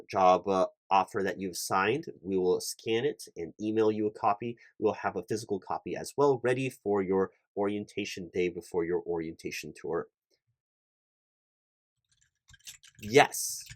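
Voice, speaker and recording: Man playing a low-voiced, fussy office recruiter. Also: dry recording, close to an everyday voice. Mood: officious, instructing. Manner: rambling, repetitive, pedantic, stumbling over long lists. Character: fussy, officious corporate recruiting manager, man, wordy and apologetic. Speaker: ASMR roleplay performer. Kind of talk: one-sided job interview roleplay ASMR.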